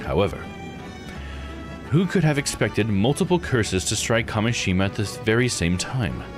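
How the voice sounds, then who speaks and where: A man's voice: fairly low pitch, animated, normal speed, lightly acted. Courteous mystery-game storyteller, read by a man, formal and ceremonious, varied intonation over music. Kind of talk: scripted narration read aloud.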